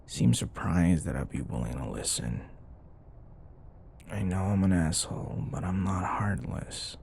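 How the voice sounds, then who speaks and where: Low, gravelly grumpy office rival played by a man. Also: animated, a little slow, darkish tone, a little hiss or hum, exaggerated voice. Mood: soft, concerned. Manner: exasperated, blunt, conversational, sighing. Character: grumpy, sarcastic male office rival, grudgingly caring. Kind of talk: intimate ASMR boyfriend roleplay acting.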